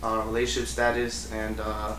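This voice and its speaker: A man co-hosting a casual podcast, relaxed and asking follow-up questions. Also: on the low side, moderate pace, far from the mic. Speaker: podcast co-host. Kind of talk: casual chat. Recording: echoey room with faint noise.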